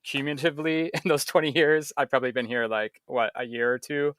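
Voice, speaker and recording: A man from Ohio, speaking as a podcast guest, relaxed and self-deprecating, laughing often and restarting his sentences. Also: mid-range voice, fairly quick, clear, resonant tone, no echo, studio quality. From Ohio, USA. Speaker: guest, juggler. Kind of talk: casual interview chat.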